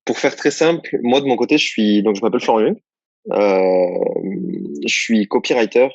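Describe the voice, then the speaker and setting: Low-voiced man, relaxed and rambling. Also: moderate pace, no echo, highs cut off. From southern France. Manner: relaxed, rambling, many fillers and restarts. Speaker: guest, copywriter. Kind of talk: casual interview chat.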